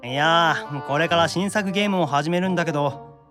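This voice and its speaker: A man voicing an excitable young demon gamer in an anime audio drama, with an eager, expressive delivery. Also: on the high side, brisk, over music, natural voice.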